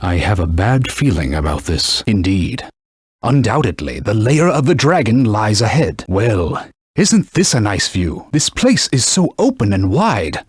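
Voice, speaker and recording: A proud, stern talking sword from a fantasy video game. His male voice is husky and extremely animated in pitch, recorded close and dry. He instructs and scolds in pompous, old-fashioned knightly language. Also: measured pace, no room sound, exaggerated voice. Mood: instructive, composed. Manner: pompous, formal archaic phrasing, scolding and instructive. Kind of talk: theatrical video game voice acting.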